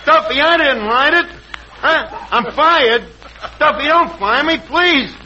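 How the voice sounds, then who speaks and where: A man's voice: extremely high pitch, expressive, slow, sharp tone, full character voice. A man playing a hapless tavern manager and would-be announcer in a 1940s radio comedy, mock-formal and wheedling. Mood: pleading. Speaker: host. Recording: muffled, very noisy vintage radio broadcast.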